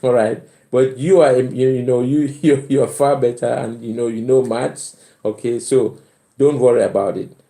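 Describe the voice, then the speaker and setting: A man's voice: mid-range voice, steady tone, normal speed, gravelly, dark tone. A middle-aged man with a Cameroonian accent, casually hosting a live chat and repeating phrases for emphasis. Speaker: live stream host. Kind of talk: casual live chat, reading out and answering comments. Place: bedroom at home. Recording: roomy indoor echo.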